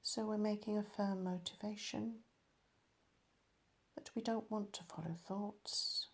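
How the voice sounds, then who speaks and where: Slow, calm woman guiding meditation. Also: mid-range voice. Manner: calm, measured, soft phrase endings. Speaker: meditation teacher. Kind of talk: guided meditation narration.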